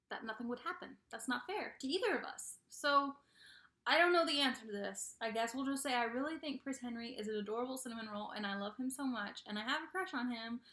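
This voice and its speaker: A woman's voice: a little high, sharp tone. A woman talking casually in a book review vlog, emphatic and chatty, often repeating phrases for emphasis. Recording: roomy, echoey indoor recording.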